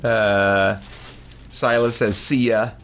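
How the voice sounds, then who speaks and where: A man's voice: lowish voice, steady tone, slow pace, fairly bright tone, a little hiss or hum. A man chatting casually and jokily as the easygoing host of a gaming livestream.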